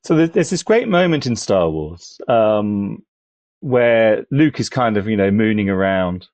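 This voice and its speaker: A man talking as a podcast panellist, thoughtful and halting, building his points gradually in conversation. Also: lowish voice, lively intonation, a little mellow, dry recording. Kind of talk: conversational film discussion.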